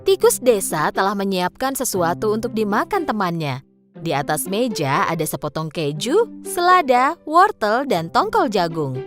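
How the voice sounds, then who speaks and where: A woman's voice: medium pitch, big pitch swings, moderate pace. A woman narrating a scripted children's story. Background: music.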